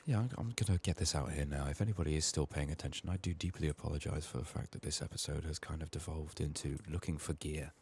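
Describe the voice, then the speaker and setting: A man quietly narrating a game playthrough in soft-spoken ASMR style, murmuring to himself and often dropping toward a whisper. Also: very deep voice, fairly even intonation, fast, hardly any pauses. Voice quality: soft, hushed, breathy in whispers. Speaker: gaming streamer.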